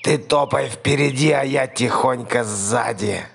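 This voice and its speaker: A man voicing a huge hungry forest monster, slow and drawn-out. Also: mid-range voice, gravelly, husky, voice pushed up. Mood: mocking.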